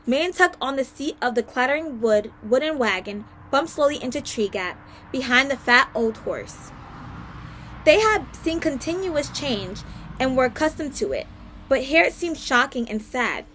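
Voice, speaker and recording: A woman's voice: high voice, lively intonation, moderate pace, fairly bright tone, slight background noise, low-quality recording. A woman reading a story aloud as a guest narrator, careful, with occasional stumbles and self-corrections.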